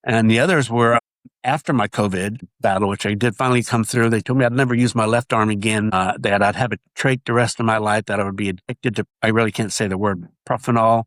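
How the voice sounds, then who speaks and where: A man's voice: on the low side, steady tone, fairly bright tone, no echo. A man, earnestly sharing his testimony in an interview and often restarting his sentences. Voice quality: nasal voice. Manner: earnest, halting with repeated restarts. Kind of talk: casual interview talk.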